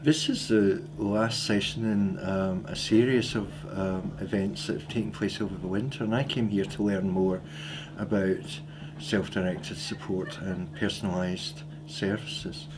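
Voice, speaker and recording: A Scottish man answers calmly and quietly in a voice on the low side. Steady noise runs under the recording. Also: unhurried, hardly any pauses. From Dumfries, Scotland. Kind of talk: interview answer. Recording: noisy recording.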